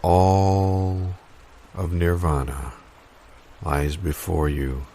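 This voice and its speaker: A man performing improvised audio comedy as a pompous guru of financial enlightenment, speaking in deadpan, portentous, halting phrases. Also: low voice, slight background noise, exaggerated voice. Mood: solemn. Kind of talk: improvised audio comedy, mock-meditative pronouncements.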